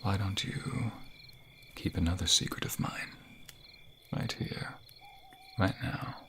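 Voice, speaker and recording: A man's voice: low-pitched, steady tone, slow, fairly bright tone, a little hiss or hum. A man playing a tender king who confides in a secret lover, speaking intimately in formal courtly phrases for a romantic fantasy ASMR role play.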